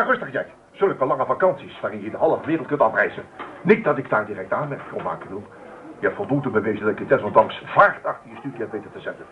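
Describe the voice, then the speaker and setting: An actor playing a stern but fair farmer father in his fifties in a radio play, speaking in a measured, formal, faintly sardonic way. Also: medium pitch, close to an everyday voice. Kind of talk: Dutch radio play acting. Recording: thin, phone-like old radio recording.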